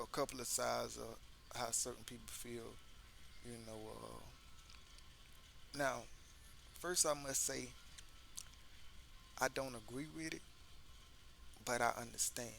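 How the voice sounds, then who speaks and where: A male host talks in a casual commentary style with a gravelly, fry-edged voice. His pitch stays level and even, and he leans on fillers as he explains. A faint ambient hiss sits under the recording. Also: takes it slowly, long pauses. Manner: loose, filler-heavy talk with lots of "you know".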